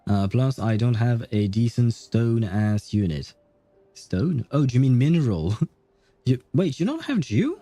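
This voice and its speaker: A man chats casually on a game livestream. His voice is mellow and close, with little room sound, and he takes his time, hesitating and rambling as he goes. Music plays softly behind him. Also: mid-range voice, big pitch swings, unhurried, no room sound. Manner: casual swearing.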